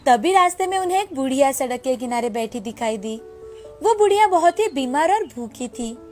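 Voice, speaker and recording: A woman's voice: high voice, expressive, moderate pace, a little mellow. A woman narrating a scripted storybook tale as the storyteller, with music behind her. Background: background music.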